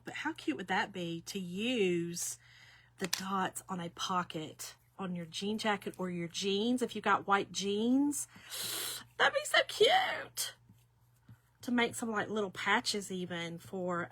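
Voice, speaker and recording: A woman in her early fifties walks viewers through a craft project in a loose, talkative way. Her voice has a bright, forward edge, and she keeps checking in with the audience as y'all. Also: medium pitch. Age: 50s. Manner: chatty, folksy, addresses viewers as y'all. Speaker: craft demonstrator. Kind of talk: casual craft tutorial.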